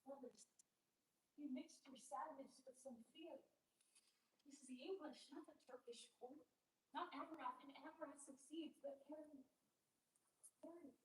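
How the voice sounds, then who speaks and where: A woman's voice: high-pitched, fairly even intonation, a little slow, pauses often. A woman playing an earnest, reassuring young king in a declamatory staged reading of Shakespeare. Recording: echoey room, distant mic, faint hiss.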